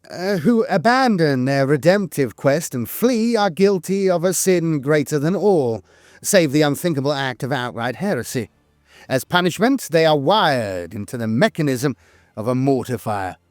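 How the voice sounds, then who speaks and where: Male lore narrator, slightly high voice, solemn and deliberate delivery with very lively pitch movement, dry close sound. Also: dry recording, faint music behind.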